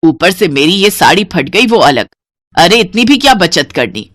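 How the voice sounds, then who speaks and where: A woman's voice: sits low, big pitch swings, normal speed, no echo, clean studio sound, voice pushed down. Woman voicing a stern scolding mother-in-law. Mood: angry scolding. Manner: commanding, scolding.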